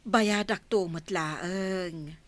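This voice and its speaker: A woman's voice: lowish voice, very slow, no echo. A woman playing a worried, pleading grandmother in an Ilocano radio drama.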